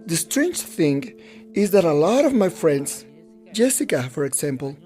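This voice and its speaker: Mellow voice-over reader with music beneath. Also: mid-range voice, very animated, unhurried. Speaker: voice-over interpreter reading translations. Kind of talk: expressive translated voice-over.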